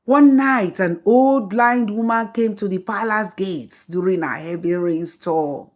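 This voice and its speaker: A woman telling a folktale as scripted storybook narration, in a slow, measured way. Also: medium pitch.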